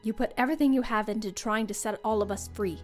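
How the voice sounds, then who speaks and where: A woman's voice: medium pitch, fast, close to an everyday voice. A woman playing a resolute, regal monster queen in a comic dub, speaking formally and solemnly. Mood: solemn, understanding. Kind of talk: dramatic comic dub acting. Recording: music behind voice.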